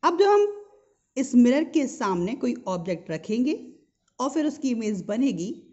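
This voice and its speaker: High, mellow-voiced Indian woman teaching. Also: sing-song, measured pace. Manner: didactic, repeats key terms and asks rhetorical questions. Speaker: physics teacher. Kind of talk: explanatory school lesson mixing Hindi and English. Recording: dull lo-fi sound.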